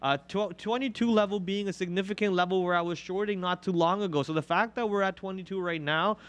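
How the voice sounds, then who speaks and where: A man's voice: sits high, fast talker, a bit bright. A man hosting a live stock trading show, chatting and joking casually while calling out chart levels.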